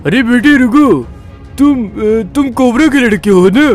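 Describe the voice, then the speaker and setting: A man's voice: very high voice, unhurried, darkish tone, soft background music. A man playing an earnest older family friend, fatherly and insistent, in a melodramatic story. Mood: urgent calling. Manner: pleading, insistent.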